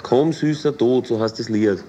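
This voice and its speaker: A man's voice: mid-range voice, moderate pace, warm tone, lo-fi sound, close to an everyday voice. An actor playing a laconic, evasive man who answers tersely and dryly.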